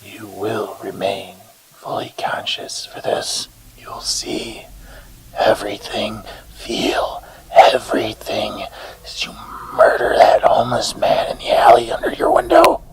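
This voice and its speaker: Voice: mid-range voice, wildly swooping pitch, heavily acted, poor audio. An actor voicing a gloating, sadistic demonic entity in a hissing, menacing whisper for a horror audio drama. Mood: vengeful, gloating. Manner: menacing, drawn-out, mocking.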